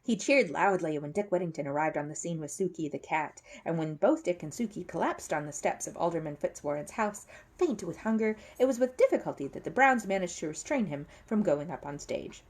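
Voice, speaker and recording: Storybook reader, female, animated delivery, husky edge, big swings in pitch. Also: fairly quick. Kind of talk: animated read-aloud narration of a children's story.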